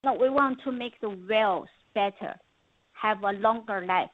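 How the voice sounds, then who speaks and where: A woman's voice: mid-range voice, unhurried, no room sound. A woman with a Chinese accent, a native Mandarin speaker, answering questions and interpreting as a company representative on a conference call. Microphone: telephone. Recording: dull lo-fi conference phone call.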